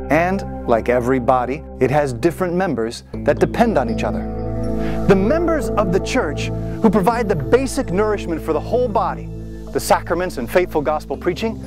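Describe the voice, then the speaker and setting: A man giving solemn, measured scripted narration for a promotional video. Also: a little high. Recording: music underneath.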